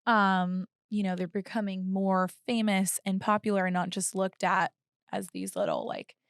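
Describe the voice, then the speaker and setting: A woman's voice: mid-range voice, expressive, moderate pace, dry recording, studio quality. Reflective young woman, casual chat. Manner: reflective, uses "like" often. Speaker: podcast co-host.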